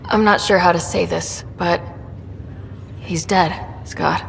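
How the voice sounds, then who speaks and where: Low, creaky-voiced caring explorer. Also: female voice, steady tone, takes it slowly. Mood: gentle, sorrowful. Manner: gentle, earnest, careful. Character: caring young female space explorer, gentle sister. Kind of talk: dramatic video game acting. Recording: hall reverb, faint rumbling noise.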